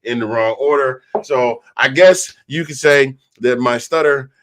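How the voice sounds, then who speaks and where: A man's voice: medium pitch, unhurried, a bit bright, a little hiss or hum. A man hosting a casual football panel show, joking and self-deprecating, with a slight stutter. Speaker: show host. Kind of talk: casual sports panel chat.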